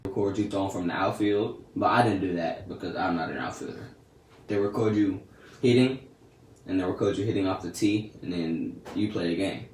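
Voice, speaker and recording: A man's voice: low-pitched, moderate pace, darkish tone, distant mic, a little hiss or hum. Laid-back teenage boy vlogging, roomy echo. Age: teens. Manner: laid-back, slangy and chatty. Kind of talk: casual storytelling to camera.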